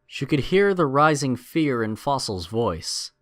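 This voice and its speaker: A man's voice: medium pitch, very animated, unhurried. A man narrating a scripted adventure story in a dramatic storytelling style.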